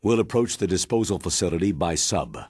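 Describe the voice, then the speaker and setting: Deep, husky military commander. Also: male voice, expressive, darkish tone, dry recording, clean studio sound. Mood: instructive. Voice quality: husky, weighty. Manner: measured, commanding, grave. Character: stern, authoritative older military commander giving mission briefing. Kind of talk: dramatic video game cutscene acting.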